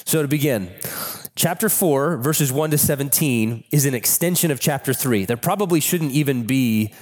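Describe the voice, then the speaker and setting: A man with a cold, hoarse, preaching a sermon in a church in a candid, conversational way. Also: mid-range voice, normal speed, darkish tone. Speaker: pastor. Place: church sanctuary. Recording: long reverb of a church hall.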